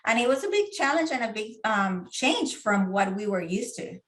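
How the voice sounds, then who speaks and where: A middle-aged woman speaks as an interview guest. Her voice sits at an ordinary middle pitch, and she talks earnestly, often pausing on fillers and restarting her phrases. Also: animated, fairly bright tone. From Puerto Rico. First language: Spanish. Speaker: guest, diabetes advocate and parent. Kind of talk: casual interview.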